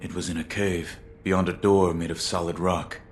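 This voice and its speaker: A man playing a terse, loyal shinobi in a video game, solemn and restrained, with a gravelly voice. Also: sits low, level tone, moderate pace, soft background music, lightly acted. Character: stoic loyal adult male shinobi serving a young lord. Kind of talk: video game cutscene acting.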